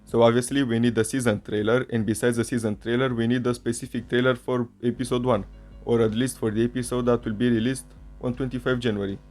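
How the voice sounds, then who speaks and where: Man, native Romanian speaker, chatty channel host, mellow voice, faint background music. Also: mid-range voice, flat delivery, fast talker. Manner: chatty, self-correcting fan commentary. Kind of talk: casual commentary video.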